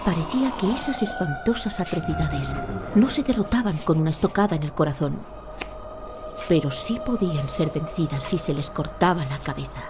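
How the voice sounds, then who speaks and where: A woman narrating a scripted audio drama in a measured, dramatic storytelling manner. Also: sits low, animated, over music.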